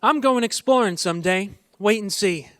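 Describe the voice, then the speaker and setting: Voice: medium pitch, moderate pace, a bit bright, slight background noise, lightly acted. An actor playing an earnest, plucky twelve-year-old boy with an eager, boyish manner in a radio play. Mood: plucky, boastful. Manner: boyish, eager, stammers when anxious. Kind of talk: live radio play acting.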